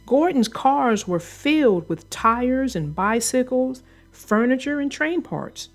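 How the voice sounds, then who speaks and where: Children's read-aloud narrator, woman, very lively intonation, unhurried, light music underneath. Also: high-pitched. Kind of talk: expressive read-aloud storytelling.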